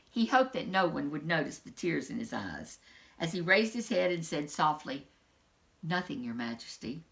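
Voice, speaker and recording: A woman reads a children's story aloud as a storyteller. Her mid-pitched voice moves through a wide, lively range, with dramatic, expressive phrasing. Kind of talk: children's picture book read-aloud.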